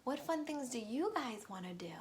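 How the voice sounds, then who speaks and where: A woman reading a storybook aloud to young children, expressive and pausing to ask the listeners questions. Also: on the high side.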